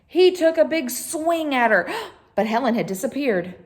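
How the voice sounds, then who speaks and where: A woman's voice: high-pitched, expressive, measured pace, darkish tone. A woman reading a picture book aloud to children as a storyteller, expressively, with chatty asides to her listeners.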